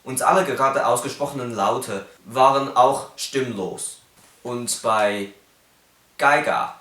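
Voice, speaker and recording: A man's voice: medium pitch, takes it slowly, a bit bright, reverberant. A young man whose first language is Cantonese, speaking with a Cantonese accent as he explains phonetics in a casual vlog and carefully demonstrates sounds.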